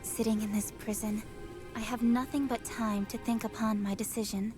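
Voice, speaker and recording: A woman voicing a torn young princess in a game cutscene, in a quiet inner monologue. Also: on the high side, level tone, normal speed, music in the background, natural voice. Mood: pensive.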